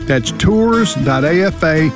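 A man's voice: animated, slow. A man delivering an upbeat, folksy promotional radio spot for group tours. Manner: upbeat, folksy sales pitch. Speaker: organization president in an ad. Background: guitar music.

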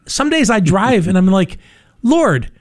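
A middle-aged man with a softened New York accent, telling stories in an animated, casual conversational way. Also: sits high, measured pace. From New York, United States. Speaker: ministry leader telling its story. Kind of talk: casual radio interview conversation.

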